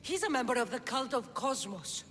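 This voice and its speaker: A woman's voice: a little high, animated, normal speed, a bit bright, big-room sound, slight background noise. An actress playing a bold, resolute Spartan warrior woman in a video game. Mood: accusing, defiant. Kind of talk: video game dramatic acting.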